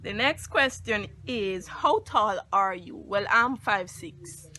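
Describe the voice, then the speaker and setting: A woman's voice: medium pitch, animated, takes it slowly, bright, forceful tone, slight background noise. A young Jamaican woman reading tag questions on a casual vlog, with short, teasing replies. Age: young adult. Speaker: YouTuber co-host reading questions. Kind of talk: casual chat.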